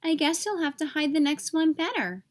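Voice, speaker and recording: A woman voicing a patient, resigned mother in a children's storybook, with a gentle and reassuring manner. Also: high-pitched, very animated, moderate pace, pitched above the performer's own voice.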